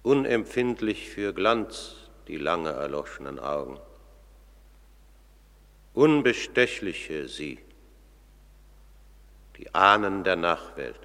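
A ceremonious underworld herald in a radio play, low slow voice, huge reverb. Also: male voice, big pitch swings, fairly bright tone, heavily acted. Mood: solemn. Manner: formal, ceremonious, measured. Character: solemn herald announcer of the underworld court. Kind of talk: scripted radio drama narration. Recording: long cavernous hall reverb.

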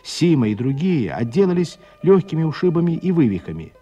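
A man narrating a literary radio drama as a measured, expressive storyteller. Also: fairly high pitch, lo-fi sound, faint music behind.

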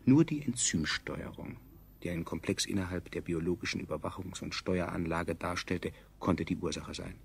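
A detached male narrator of a dystopian science fiction radio play. His voice is very low with a gravelly creak, and he tells it in a measured, dryly ironic way. The 1970s recording carries faint noise. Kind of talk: scripted narration, 1970s German radio drama.

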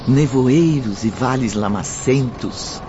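A man's voice: medium pitch, slow pace, noisy background. A man narrating a radio drama from a script. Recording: muffled, music behind.

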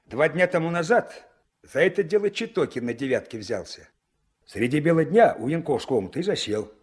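Male Soviet dispatch boss, 1970s radio play: coaxing, husky, very animated, medium pitch. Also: moderate pace. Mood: serious, pressing. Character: genial but pressing male river-port dispatch chief. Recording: old mono radio play recording.

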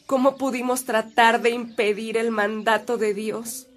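A woman's voice: on the high side, steady tone, measured pace, slight background noise, lightly acted. An actress with a Mexican accent playing a devout, anxious woman in a radio drama, speaking in an earnest, emotive way. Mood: remorseful, admiring. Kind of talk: Spanish-language radio drama acting.

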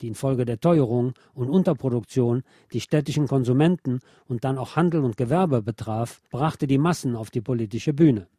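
Mellow-voiced man narrating history. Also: mid-range voice, normal speed, hardly any pauses. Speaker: radio programme narrator. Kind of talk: scripted narration, reading historical accounts.

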